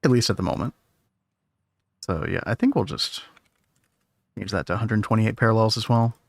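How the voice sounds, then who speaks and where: Laid-back male streamer, voice going hoarse. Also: fairly low pitch, moderate pace, no room sound, clean studio sound. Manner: rambling, easygoing, talks to chat. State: losing his voice. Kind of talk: casual gameplay commentary.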